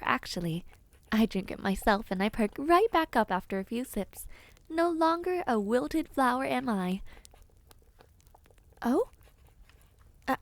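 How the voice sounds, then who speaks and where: A woman playing a kind, dreamy witch in an audio roleplay, soothing and gently formal, easily sidetracked. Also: high-pitched, dry recording. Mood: gentle reassurance. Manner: gentle, soothing, old-fashioned formal phrasing, easily distracted. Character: kind, dreamy peculiar witch, otherworldly and caring. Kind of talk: audio roleplay addressed to the listener.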